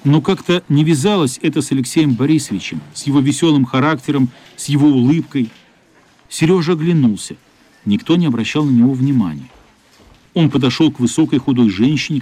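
Somber man narrating, slightly dark voice. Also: mid-range voice, fairly quick. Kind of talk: dramatic literary narration for radio play. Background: faint music.

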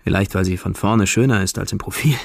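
An actor playing a lovelorn, troubled young man reading his diary in a radio drama, introspective, measured and confiding. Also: deep voice, no room sound, studio quality. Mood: pensive. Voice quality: husky, gravelly.

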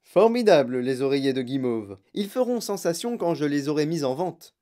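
A storybook narrator voicing an excitable, boastful eccentric man who owns a chocolate factory, exclamatory, emphatic and impatient. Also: medium pitch, moderate pace, close to an everyday voice. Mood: excited, proud.

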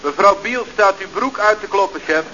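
A man's voice: high-pitched, normal speed, bright, forceful tone. An actor playing a hapless, dutiful male underling, naive and literal, in a Dutch radio comedy from around 1970 with a Netherlands accent. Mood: earnest. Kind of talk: 1970s radio comedy acting. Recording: dull, hissy old radio recording.

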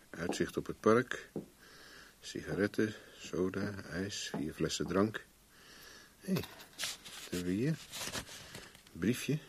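A man's voice: lowish voice, background noise. A man acting in a radio play as a cool, wry private investigator with a dry, matter-of-fact manner. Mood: wry, watchful.

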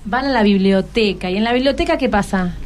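A woman's voice: medium pitch, fairly quick. A woman with a Rioplatense Argentine accent hosting a casual radio interview, encouraging and full of questions. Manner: encouraging, asks many questions. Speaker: radio host interviewing schoolchildren.

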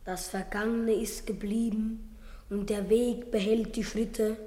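A woman's voice: mid-range voice, little pitch movement, dark tone, close to an everyday voice. A woman playing a ponderous Saint Peter in a school play, murmuring a rhymed incantation for radio. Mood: mystical. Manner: murmured, measured chant. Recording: long hall reverb.

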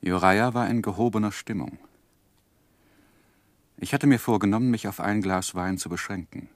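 Low-voiced, earnest male actor as a gentleman narrator. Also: no room sound, lightly acted. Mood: pained narration. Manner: grave, measured, literary. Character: earnest gentleman narrating his own life story. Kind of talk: 1960s radio drama narration.

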